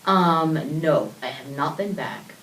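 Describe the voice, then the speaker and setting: A woman's voice: low-pitched, lively intonation, slow, fairly bright tone, far from the mic. A woman in her twenties, a guest on a talk show, answering in a casual, laughing, talkative way. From born in South Korea, raised in the United States. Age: 20s. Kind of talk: interview answers. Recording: roomy echo, voice from off mic, faint noise.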